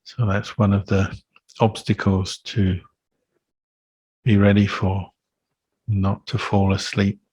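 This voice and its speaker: Male meditation teacher, very even delivery, slightly husky and soft-edged, calm phrasing with gentle pauses. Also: lowish voice, dry recording. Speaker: Buddhist monk teacher leading meditation. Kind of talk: guided meditation instruction.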